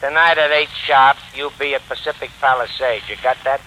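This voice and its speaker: An actor playing a curt, menacing gangster with clipped, commanding slang, in 1940s radio crime style. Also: male voice, mid-range voice, steady tone, moderate pace, sharp tone, slight background noise, close to an everyday voice, rough recording. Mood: menacing, businesslike. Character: curt, menacing gangster giving ransom orders.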